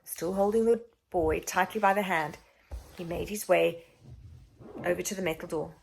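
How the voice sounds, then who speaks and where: A woman reading a children's book aloud as an expressive story narrator. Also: fairly low pitch, normal speed, vocal fry, slight background noise, low-quality recording.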